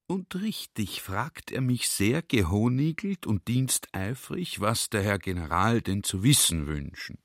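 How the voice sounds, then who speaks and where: A man's voice: on the low side, a bit bright, dry recording, clean studio sound. An actor playing a garrulous, naively sly army general who tells his adventure in a chatty, emphatic radio play monologue. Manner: chatty, emphatic storytelling, drawn-out quoted speech.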